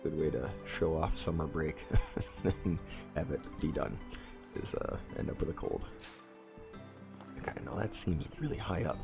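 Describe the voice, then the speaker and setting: Very deep gravelly man with a cold. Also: normal speed, long pauses, music in the background. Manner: thinks aloud, second-guesses and reworks his plans. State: stuffy nose from a cold. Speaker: let's play host. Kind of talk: casual gameplay commentary.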